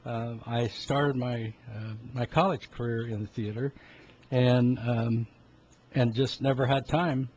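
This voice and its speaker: Halting man in his seventies, dull recording. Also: medium pitch, fairly even intonation, a little mellow. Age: 70s. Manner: halting, rambling, frequent throat clearing. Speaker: health talk host, medical practitioner. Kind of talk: informal health talk. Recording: dull lo-fi sound with background noise.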